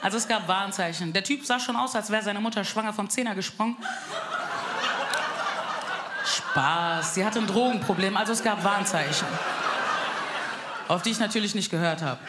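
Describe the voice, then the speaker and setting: A young woman from Bavaria doing a cheeky, deadpan stand-up set on a club stage. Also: medium pitch, moderate pace, long pauses. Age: young adult. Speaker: stand-up comedian. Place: live comedy club stage. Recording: live stage mic, loud crowd and room noise.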